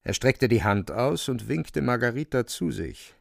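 A man narrating a literary radio play with a creaky voice. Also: medium pitch, animated, a little slow, dry recording, clean studio sound.

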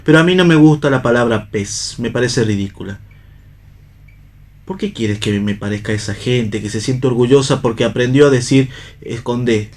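A man's voice: mid-range voice, normal speed, natural voice. A man acting a disillusioned, ironic husband in a radio play, speaking in a literary, argumentative way. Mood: wry, dreamy. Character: cynical, pipe-smoking husband, disillusioned and philosophical unbeliever. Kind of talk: staged radio play reading.

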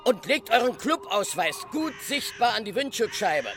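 An actor playing a brisk, hearty hunting club chairman who instructs a search party in a radio play. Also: sits high, very animated, a bit bright, soft background music. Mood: instructing.

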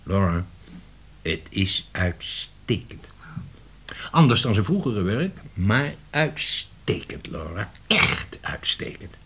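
An actor playing a blustering, persuasive male publishing director in a radio play, emphatic and given to dramatic pauses and repetition. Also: on the low side, sing-song, a bit bright, slight background noise, heavily acted. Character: blustering, cajoling male publishing director of old family firm.

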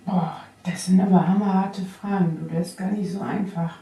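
A middle-aged or older woman in candid personal conversation, speaking in a reflective, halting way. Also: lowish voice, fairly even intonation, mellow. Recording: echoey room, distant from mic.

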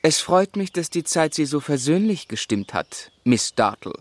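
An actor playing an earnest, easily indignant gentleman who narrates his memories in a radio play, in a measured, literary and restrained manner. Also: on the high side, sing-song.

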